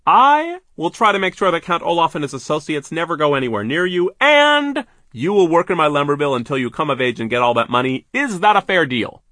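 Domineering storybook boss, high-pitched, loud, pompous, drawn-out emphatic words, dismissive, very dry recording. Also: male voice, very animated, no echo. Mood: blustering, self-satisfied. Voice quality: forceful, drawn-out emphatic delivery. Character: loud, domineering, stingy male lumber mill boss. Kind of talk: audiobook character voice.

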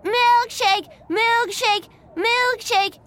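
A woman voicing a demanding little boy in an audiobook, shouting and insisting in a whiny, bratty way. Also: very high-pitched, pitched above the performer's own voice, heavily acted. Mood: shouting tantrum. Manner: shouting, insistent, drawn-out words. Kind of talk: audiobook character voice.

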